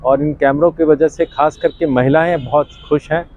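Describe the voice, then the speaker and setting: A man's voice: fairly high pitch, fairly even intonation, normal speed, a little mellow, no room sound. A man speaking as a senior official, giving a statement to the media.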